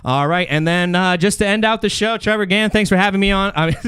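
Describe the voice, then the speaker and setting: Casting: male comedy podcast host, smooth high-pitched voice, dry close-mic sound, loose sweary banter. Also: a little fast, a bit bright, no echo. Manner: informal, profane, playfully teasing. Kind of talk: casual comedic chat with game-show bits. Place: podcast studio.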